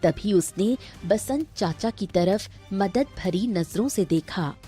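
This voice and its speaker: Mellow, unhurried woman narrating a story. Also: medium pitch, lively intonation, faint music behind. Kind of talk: scripted children's story narration.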